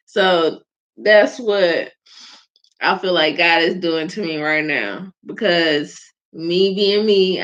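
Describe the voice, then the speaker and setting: Soft-spoken woman with a bright lo-fi voice. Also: lowish voice. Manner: soft-spoken, chatty, laughs often. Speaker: host of a live faith vlog. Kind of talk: casual spoken reflection. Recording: dull lo-fi sound.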